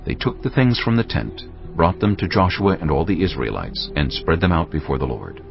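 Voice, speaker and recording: A man narrating a dramatized Bible as scripted storytelling, measured and dramatic. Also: low voice, big pitch swings, vocal fry, highs cut off, music in the background.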